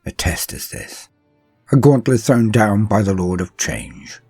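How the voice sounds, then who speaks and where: Man playing a deep, husky, brooding sorcerer warlord. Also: expressive, darkish tone, no echo, heavily acted. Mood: regretful brooding. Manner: slow, solemn, theatrical, with heavy pauses. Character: ancient sorcerer warlord, brooding, tormented, grandiose. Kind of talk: dramatic first-person horror audio tale. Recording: music under the voice.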